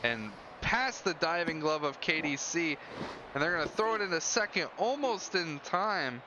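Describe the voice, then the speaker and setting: A man's voice: a little high, animated, normal speed. A man doing live play-by-play baseball commentary in clipped calls with casual asides. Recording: dull lo-fi audio with loud background noise.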